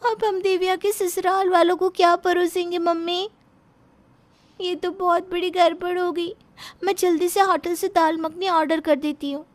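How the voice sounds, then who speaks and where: Anxious young daughter for a cartoon , played by a woman, extremely high voice, eager to make amends. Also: little pitch movement, normal speed.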